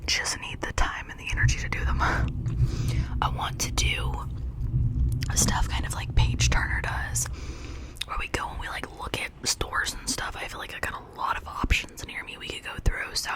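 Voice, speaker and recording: A woman whispering breathily in a casual, rambling, chatty ASMR style, talking informally to her viewers. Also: normal speed. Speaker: ASMR video creator.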